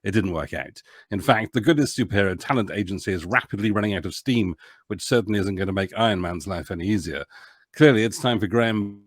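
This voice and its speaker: A man's voice: lowish voice, quick pace, no echo, studio quality. A man chatting casually as an interview guest, hesitant and rambling, often interrupting himself. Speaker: podcast guest, author and producer.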